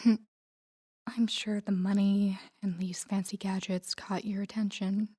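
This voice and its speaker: A woman playing a guarded, tender mafia boss in an intimate audio roleplay, speaking softly and breathily to a lover in a measured, confiding way. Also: medium pitch, level tone, breathy edge. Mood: soft, reassuring. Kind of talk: intimate scripted audio roleplay addressed to the listener.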